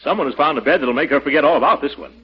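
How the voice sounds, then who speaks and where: An actor in 1940s radio comedy playing a pompous, flustered middle-aged uncle with a blustering, stammering delivery. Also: male voice, a little high, very fast, slightly rough. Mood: flustered, gracious. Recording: dull vintage radio recording.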